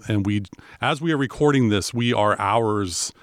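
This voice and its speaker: A man with a baritone voice co-hosting a podcast in casual, rambling chat with fillers and restarts. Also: moderate pace, dry recording, clean studio sound. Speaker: podcast co-host.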